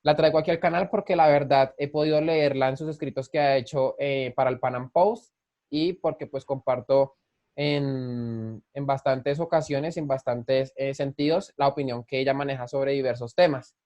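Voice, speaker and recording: A man's voice: medium pitch, steady tone, moderate pace, darkish tone, dull audio. A man hosting an interview in a conversational way, with polite, hesitant phrasing. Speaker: channel host and interviewer.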